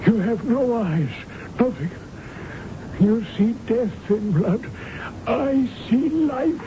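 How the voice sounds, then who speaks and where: A man's voice: very high-pitched, expressive, slow, noisy background, full character voice. An actor playing a fervent, imperious old father devoted to Renaissance art, declaiming grandly in a radio drama. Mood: furious. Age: older. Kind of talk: 1970s radio drama acting. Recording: muffled old radio broadcast, music behind.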